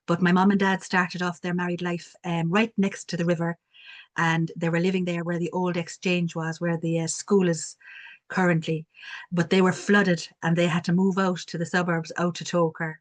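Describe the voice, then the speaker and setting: A woman's voice: on the low side, little pitch movement, normal speed. A woman from Cork with a strong Cork Irish accent, telling reflective stories on a video call panel. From Cork, Ireland. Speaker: community development worker. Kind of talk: online panel talk.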